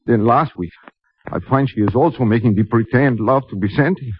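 A man's voice: mid-range voice, lightly acted. Man playing a Spanish-accented lovesick young man, crackly radio. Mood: anguished confession. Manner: halting, simple phrasing, melodramatic. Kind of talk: 1950s radio drama acting. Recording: noisy, dull 1950 radio recording with clicks.

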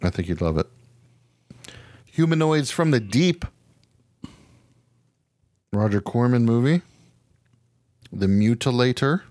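A middle-aged American man co-hosting a podcast, chatting casually about movies in a slangy, animated, profane way. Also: mid-range voice, takes it slowly, long pauses. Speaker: podcast co-host.

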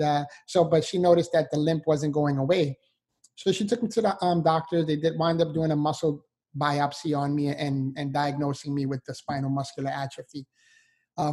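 Upbeat, mellow-voiced New man. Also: on the high side. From New York, USA. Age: 40s. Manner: earnest, upbeat, with light laughs and fillers. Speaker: motivational speaker guest. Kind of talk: interview storytelling.

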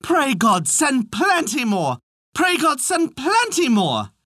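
A storybook narrator voicing a simple-minded little boy who bawls out phrases in a loud, repetitive singsong. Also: sits high, wildly swooping pitch, slow pace, no room sound, clean studio sound, pitched above the performer's own voice, full character voice. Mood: singsong chanting. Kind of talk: storybook folk tale character.

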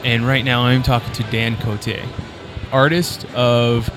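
A man's voice: mid-range voice, flat delivery. A man hosting a casual on-location interview, repeating words as he forms his questions. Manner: halting, repeats words while forming questions. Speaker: interviewer, host. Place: busy convention floor.

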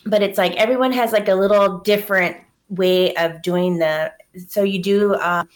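A woman's voice: mid-range voice, steady tone, moderate pace. A young adult woman from Hawaii, chatting casually as a podcast guest and telling stories with animation. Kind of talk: casual chat.

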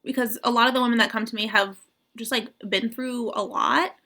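A woman's voice: on the high side, fairly even intonation, a little fast, sharp tone. A young woman, a coach guesting on a podcast, chatting casually and informally with frequent filler "like". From born in Morocco, grew up in the US and Morocco. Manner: informal, peppered with "like". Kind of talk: casual chat.

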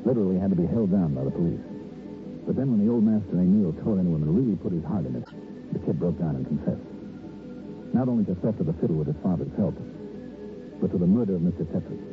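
A man's voice: low voice, expressive, quick pace. An actor playing a wry, unruffled investigator who narrates his case conversationally in an old radio detective drama. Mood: brisk, matter-of-fact. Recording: tinny old radio broadcast with music.

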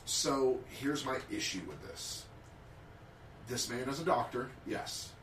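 A man's voice: mid-range voice, slow, a bit bright, recorded from a distance, a little hiss or hum. A man co-hosting a casual podcast, reading prepared history notes aloud with small slips. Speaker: podcast co-host. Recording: roomy, off-mic sound.

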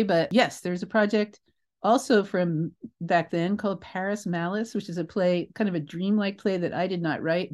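Older woman telling expressive stories, lowish voice. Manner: expressive, emphatic, rambling with asides. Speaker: guest, theatre creator. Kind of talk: animated anecdotal storytelling in an interview.

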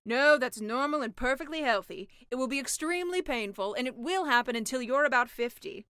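A woman's voice: sits high, animated, a little fast, fairly bright tone, clean tone, no room sound, clean studio sound. A woman voicing a brash, worldly sea witch with a blunt, matter-of-fact, slightly sly manner in a comic fairy-tale audiobook.

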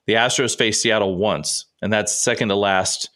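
A man's voice: lowish voice, steady tone, moderate pace, sharp tone. A man hosting a sports podcast solo, rambling and chatty while slightly congested and sniffling. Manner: chatty, rambling, self-interrupting. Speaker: podcast host and sports writer. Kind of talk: casual solo sports talk.

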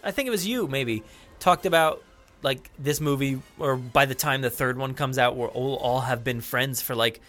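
A man in his thirties hosting a podcast, talking in an excited, rambling way with lots of fillers and restarts. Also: mid-range voice, moderate pace, faint music behind. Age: 30s. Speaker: podcast host. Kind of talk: casual podcast chat.

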